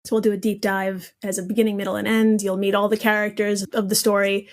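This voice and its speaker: A woman with an average-pitched voice chats casually as a podcast guest. She explains things in a talkative, self-deprecating way. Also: flat delivery, quick pace.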